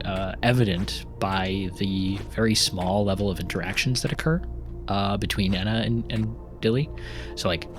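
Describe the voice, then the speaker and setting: A man with a fairly low voice talks his way through a video game, drifting between topics with frequent ums and restarts. His chatter is loose and unscripted, with the odd laugh. Faint music plays underneath. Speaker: gaming YouTuber and novelist. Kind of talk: casual commentary while playing.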